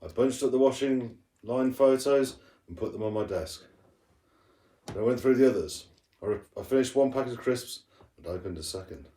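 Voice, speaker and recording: A man's voice: mid-range voice, moderate pace, dark tone. A man reading a storybook aloud as a teacher would to pupils, expressively and with the odd stumble. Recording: roomy echo, distant from the mic.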